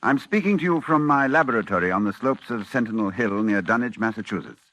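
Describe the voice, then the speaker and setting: An actor playing an anxious, solemn scholar who narrates a horror tale in formal, dramatic 1940s radio style. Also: male voice, fairly low pitch, animated, fast, fairly bright tone. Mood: grave warning. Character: grave, anxious male scholar warning of cosmic horror.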